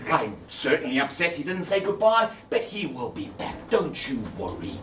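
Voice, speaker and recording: A man's voice: on the high side, big pitch swings, moderate pace, pitched above the performer's own voice. A man voicing a hungry, self-important father who blusters pompously. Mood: soothing. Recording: echoey room, distant mic, background noise.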